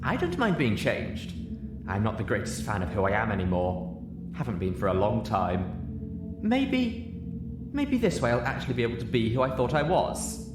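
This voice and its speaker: A man voicing a timid, insecure female unicorn pony, speaking softly and hesitantly in a story reading. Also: medium pitch, wildly swooping pitch, normal speed, music in the background. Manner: soft, hesitant, nervous. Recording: echoey room, audible background noise.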